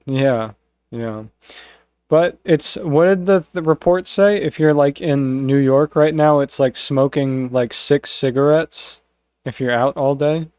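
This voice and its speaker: A man's voice: on the high side, a little slow, darkish tone, smooth, no room sound. A man hosting a casual podcast, congested, rambling with lots of fillers and laughs. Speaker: podcast host. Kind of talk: casual chat.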